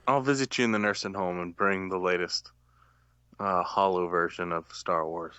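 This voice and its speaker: A man's voice: deep voice, fairly even intonation, normal speed, sharp tone, clear, resonant tone, no room sound, muffled recording. A man co-hosting a podcast in casual chat, teasing and joking with his co-host.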